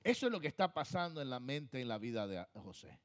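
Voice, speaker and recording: A man with a Puerto Rican accent preaching a sermon as pastor, pushing phrases hard and then letting them fall soft. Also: mid-range voice, big pitch swings, fairly quick. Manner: emphatic preaching, swings from loud to soft trailing phrases.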